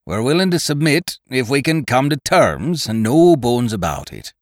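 A male narrator acts out a wily, cheerful pirate captain from a storybook adventure. The voice is pushed up high and loud, hearty and swinging in pitch, with rough sailor's phrasing. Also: sing-song, moderate pace, no echo, studio quality, natural voice. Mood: cheerful, bargaining. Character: Long John Silver, cheerful cunning one-legged pirate captain.

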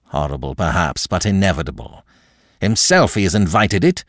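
A man voicing a proud, despairing French marquis in an audiobook, speaking with icy, measured formality. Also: low voice, big pitch swings, a bit bright, dry recording, clean studio sound, exaggerated voice. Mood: cold resolve. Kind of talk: dramatic audiobook character acting.

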